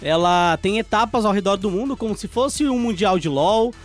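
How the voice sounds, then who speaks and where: A man's voice: high-pitched, normal speed, faint music behind. A man with a Brazilian Portuguese accent explaining esports news in casual co-host chat, often checking in with the listener. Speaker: esports show co-host and commentator.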